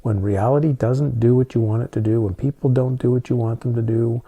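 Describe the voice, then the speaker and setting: A middle-aged man talking calmly and soothingly in a reflective monologue, often restarting his sentences. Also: mid-range voice, a little fast, slightly rough. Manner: calm, soothing delivery with frequent restarts. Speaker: spiritual talk host.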